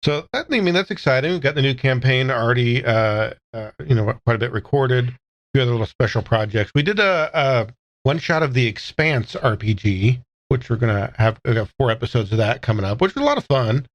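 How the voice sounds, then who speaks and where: A man's voice: mid-range voice, animated, fairly quick. A man chatting casually on a podcast, recorded on a slightly dull microphone. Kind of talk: casual chat.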